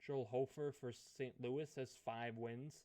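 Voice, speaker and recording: A man with a hoarse, fading voice, thinking aloud as he commentates a hockey management game. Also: mid-range voice, steady tone, dark tone. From Canada. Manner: musing aloud, unhurried, thinking through choices. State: hoarse, losing his voice. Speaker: gaming commentator. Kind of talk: let's play commentary of a management sim.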